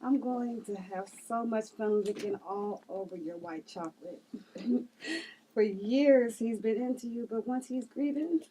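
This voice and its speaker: A woman acts out a taunting assassin in a read-through drama. Her voice is fairly high and mellow, with a drawn-out, mocking relish. The recording has clear background noise. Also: natural voice, rough recording. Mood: gloating, lustful. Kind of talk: script table read acting.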